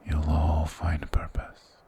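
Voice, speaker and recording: A man performs an ancient-sounding, sinister yet soothing male forest spirit in a hypnotic fantasy ASMR roleplay. The voice is very deep and heavily gravelled, with a breathy hush. He speaks slowly and formally, coaxing the listener along. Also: monotone, exaggerated voice. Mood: soothing, persuasive.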